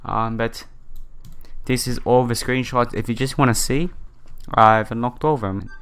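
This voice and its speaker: A man's voice: medium pitch, steady tone, moderate pace, a bit bright, clean tone. A man doing casual, informal playthrough commentary, reading story text aloud and talking to his viewers. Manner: informal, addresses viewers directly. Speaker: let's play host. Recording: music playing behind the voice. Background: game music.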